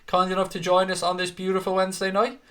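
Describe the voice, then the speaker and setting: A man's voice: high-pitched, completely flat delivery, quick pace, a bit bright. A young Irish man hosting a casual live stream, chatting with viewers in an upbeat, talkative way with the odd swear. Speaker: host.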